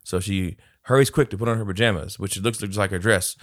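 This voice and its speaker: A man's voice: on the low side, brisk. A man co-hosting a podcast, recapping a movie scene by scene in loose, profane, laughing chat. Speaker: podcast co-host. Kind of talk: casual movie review chat.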